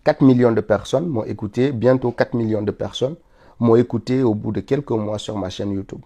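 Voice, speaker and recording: A man's voice: medium pitch, moderate pace, warm tone. A man from Senegal with a cold, giving an insistent live political monologue that climbs to indignant peaks. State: stuffy with a cold. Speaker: political commentator streaming live.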